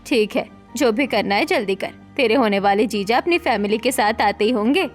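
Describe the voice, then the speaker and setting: Woman acting a high-voiced, scolding family woman. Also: moderate pace, faint music behind, lightly acted. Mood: chiding. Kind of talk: Hindi animated story character voice.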